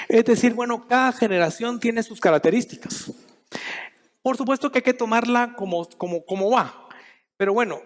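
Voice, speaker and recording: A middle-aged man from Guatemala speaking at a conference in a high, light voice. He talks in a measured way, thinking aloud with restarts and pauses. The sound carries a long hall reverb. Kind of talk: conference talk.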